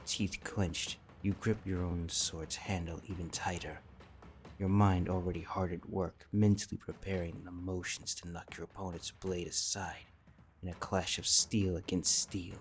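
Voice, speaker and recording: A man with a deep, low voice narrates a fantasy tale. He reads from a script in the second person, as a storyteller, over faint background music. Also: a little mellow.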